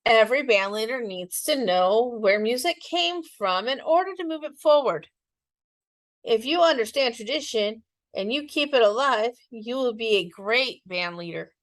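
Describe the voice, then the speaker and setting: A woman's voice: fairly high pitch, lively intonation, measured pace, no echo. A woman voicing a big, sweet male street musician with a hearty, encouraging manner in a children's storybook. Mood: kindly, wise. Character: giant, sweet, booming male street tuba player. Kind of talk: children's storybook read-aloud.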